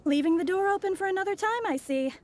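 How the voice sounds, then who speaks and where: A poised mysterious traveler. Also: female voice, very high voice, lively intonation. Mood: courteous. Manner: soft-spoken, courteous, measured. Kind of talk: video game voice acting.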